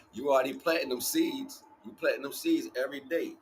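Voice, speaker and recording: A man's voice: medium pitch, slight background noise, rough recording. A man giving a rambling motivational spiritual talk in casual, sweary street slang, emphatic and repeating his phrases. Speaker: livestream spiritual teacher.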